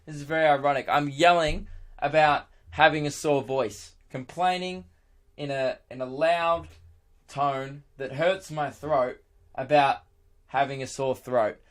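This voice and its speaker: A young man with a hoarse, worn-out voice, rambling excitedly on his own podcast, laughing and swearing as he goes. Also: fairly high pitch, measured pace. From Australia. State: hoarse, lost voice. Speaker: podcast host and radio presenter. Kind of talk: casual solo podcast chat.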